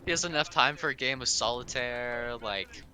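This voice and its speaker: Man, medium pitch, casual joking co-commentary, speedrun commentator. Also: normal speed, crowd noise.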